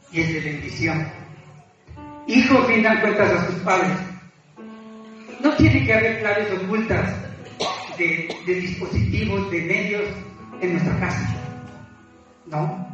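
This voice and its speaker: Male preacher, high voice, halting and humble delivery of an informal sermon; distant, very reverberant recording. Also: fairly bright tone. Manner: halting, self-deprecating, repeats phrases. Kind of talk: informal sermon, exhorting and confessing. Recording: echoey room, distant mic, muffled, music behind.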